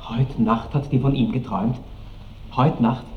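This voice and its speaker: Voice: medium pitch, steady tone, unhurried, distant mic, dull audio, natural voice. An actor playing petulant young nobleman in love, impetuous, theatrical radio drama, roomy old recording, hissy. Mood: jealous, wounded. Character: ardent, sulky seventeen-year-old count, young lover. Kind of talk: mid-century German radio play acting.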